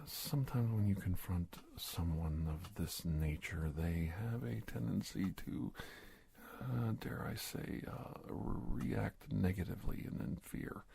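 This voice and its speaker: A man playing a polite, nervous middle-aged investigator in an ASMR roleplay, soft-spoken and halting, often slipping into a whisper. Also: a little hiss or hum. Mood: measured, careful. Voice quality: husky, breathy, gravelly low voice. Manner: halting, hesitant, courteous, deliberate phrasing.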